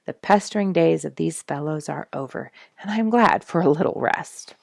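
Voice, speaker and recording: A woman's voice: low-pitched, normal speed, vocal fry, darkish tone, no echo, studio quality. A woman voicing a weary but resolute male naturalist in a storybook, declaring things emphatically. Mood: relieved.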